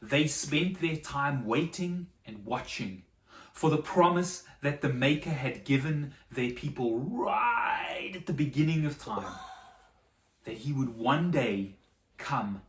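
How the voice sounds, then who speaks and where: A storyteller telling a Bible story to children, with dramatic pauses and repetition for emphasis. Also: medium pitch, expressive. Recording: echoey room, distant microphone.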